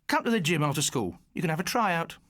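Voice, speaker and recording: Man acting cocky, lively schoolboy bully, pitched up. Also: quick pace, dry recording, studio quality. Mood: falsely friendly. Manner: taunting, bossy. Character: cocky sneering schoolboy bully, mockingly jovial.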